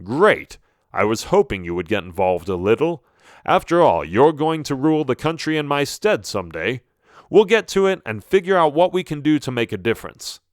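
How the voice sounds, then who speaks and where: A man's voice: mid-range voice, very animated, moderate pace, no echo, studio quality, voice pushed up, natural voice. A man voicing a commanding royal father in a storybook, firm and authoritative. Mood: hearty. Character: stern, commanding middle-aged king and father.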